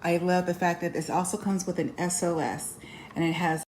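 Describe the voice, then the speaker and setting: Female product reviewer, slightly low voice, casual demo talk, noisy amateur recording with clicks. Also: fairly even intonation, moderate pace, darkish tone.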